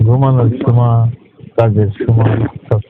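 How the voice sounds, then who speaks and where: A man's voice: mid-range voice, little pitch movement, a little slow. A man with a Bangladeshi accent chatting casually and intimately on the phone in a placating, hesitant manner. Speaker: husband working abroad. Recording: tinny phone line with clear noise.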